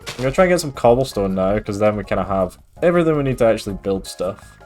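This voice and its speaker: A man's voice: lowish voice, fast talker, soft background music. A man from Scotland with a mild Scottish accent, streaming a game and casually chatting with viewers. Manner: relaxed, chatty, reads and answers chat with frequent fillers. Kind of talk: casual gaming stream chat.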